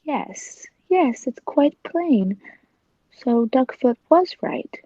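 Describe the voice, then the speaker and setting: A woman's voice: high voice, animated, very slow, warm tone, dry recording, muffled recording, exaggerated voice. A woman playing a cold, menacing, murderous circus tiger trainer in a 1940s style radio play. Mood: guarded.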